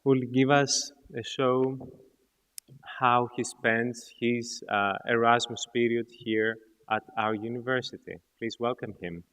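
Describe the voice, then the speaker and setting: A man moderates a formal event with deliberate, halting phrasing. His voice is smooth and very unhurried, resounding in a reverberant hall. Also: mid-range voice, steady tone, fairly bright tone. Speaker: event host. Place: large indoor hall. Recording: echoey hall with long reverb.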